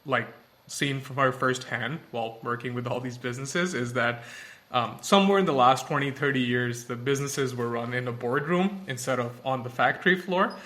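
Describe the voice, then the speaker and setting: A man's voice: medium pitch, moderate pace, a bit bright. A young man from Pakistan, a tech company founder, answering podcast interview questions thoughtfully with many fillers and restarts.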